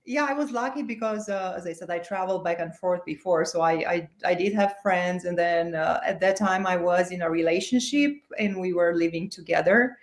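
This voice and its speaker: A woman from Romania with a Romanian accent, answering interview questions with a warm, halting manner and many restarts. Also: lowish voice, echoey room. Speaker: guest author.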